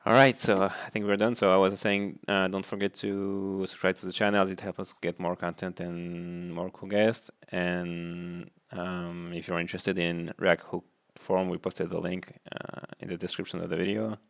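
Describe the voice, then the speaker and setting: A man hosting a casual interview in a low-key way. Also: level tone, normal speed, gravelly, few pauses, clear, resonant tone. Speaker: host.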